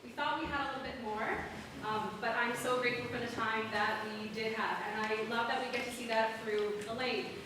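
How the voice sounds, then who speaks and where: In a reverberant, noisy hall, a woman addresses an audience as a school drama director. Her slightly high voice is caught far from the microphone as she gives a speech of thanks. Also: steady tone, fairly quick. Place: school stage. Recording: echoey hall, distant mic, noisy.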